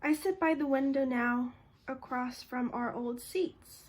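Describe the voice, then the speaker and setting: A woman's voice: high-pitched, measured pace, a little mellow. An actress playing a shy, wistful schoolgirl in a stage drama, reading a letter as monologue. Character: shy, wistful teenage schoolgirl missing her friend.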